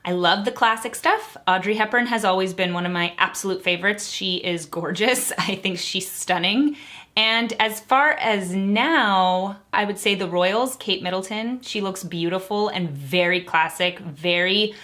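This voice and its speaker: Young female vlogger, bright and clear tone, upbeat conversational delivery, quick laughs between answers. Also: mid-range voice. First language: English. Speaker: vlogger answering viewer questions. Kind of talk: casual chat to camera.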